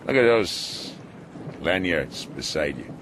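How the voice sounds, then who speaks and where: Sea captain for radio drama: very low, slow, fatalistic, muttering through pain. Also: male voice, lively intonation. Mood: wry, weary. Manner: halting, muttering, sardonic. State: weary and in pain from headaches. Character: gruff, ailing, fatalistic male sea captain marooned on his ship. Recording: noisy, boat and sea ambience.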